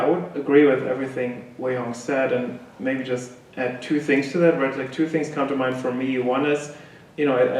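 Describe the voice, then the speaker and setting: A man's voice: mid-range voice, a little mellow. A man professor on a panel, chatty, with lots of "you know" and "right". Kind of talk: panel discussion answers. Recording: echoey hall mic from far off, faint noise.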